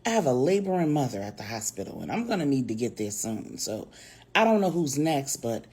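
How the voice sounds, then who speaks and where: A woman storyteller voicing a brisk, knowledgeable professional woman in a businesslike, matter-of-fact manner. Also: extremely low pitch, sing-song, a little mellow, slightly rough, voice pushed down, full character voice. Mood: hurried.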